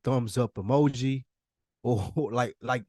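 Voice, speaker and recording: Male co-host, mid-range pitch, frank and informal, slangy delivery, close dry sound. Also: takes it slowly, a little mellow, no room sound. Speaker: podcast co-host. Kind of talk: casual, slangy conversation.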